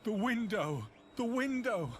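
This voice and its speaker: A man's voice: sits high, sing-song, slow, soft background music, full character voice. An actor playing a doomed, tormented morphine addict narrating his last account in a horror game, in grave, formal language. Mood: panicked terror. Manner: grave, formal, literary diction. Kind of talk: dramatic gothic horror monologue in a narrative game.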